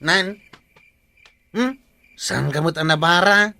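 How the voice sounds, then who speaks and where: Man acting a creaky-voiced, skeptical village watchman. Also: sits high, slow pace, sharp tone, no room sound, full character voice. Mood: dismissive. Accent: Ilocano. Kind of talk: Ilocano comedy radio drama acting.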